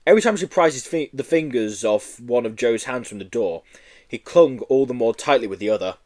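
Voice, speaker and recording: Lively male storybook narrator. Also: medium pitch, a little fast. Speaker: audiobook reader. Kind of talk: expressive children's story narration.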